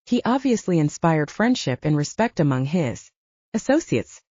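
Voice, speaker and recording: A woman's voice: low-pitched, sing-song, normal speed, dark tone, dry recording, highs cut off. A woman reading a romance novel aloud as a scripted audiobook narrator.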